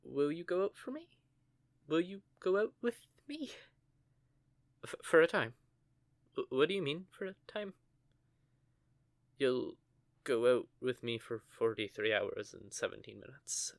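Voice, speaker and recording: A man's voice: mid-range voice, big pitch swings, unhurried, gravelly, pauses often, no room sound. A young man voicing a nervous, stuttering young male witch in an audio roleplay, recorded close and dry. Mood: giddy. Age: young adult. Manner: stammering, flustered.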